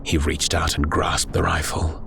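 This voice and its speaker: Male narrator for grim war fiction: low, grave, very even delivery over clearly audible ambience. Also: unhurried, a little hiss or hum. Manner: grave, solemn. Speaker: audiobook narrator. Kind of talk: dramatic scripted horror war narration.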